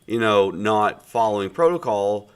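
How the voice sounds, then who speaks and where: A man in his thirties with a cold, chatting casually while streaming a game, his normally loud voice sounding muted and subdued, rambling with many fillers. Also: fairly low pitch, expressive, unhurried, clear, resonant tone. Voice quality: loud projecting voice, subdued today. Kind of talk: casual chat while gaming.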